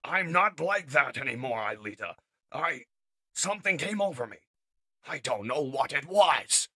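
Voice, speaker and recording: Man acting a forceful, anguished robot commander. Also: mid-range voice, animated, measured pace, husky, no echo, pitched above the performer's own voice, heavily acted. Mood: shaken. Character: heroic male robot commander, anguished, wrathful, resolute. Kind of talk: dramatic comic-book dub.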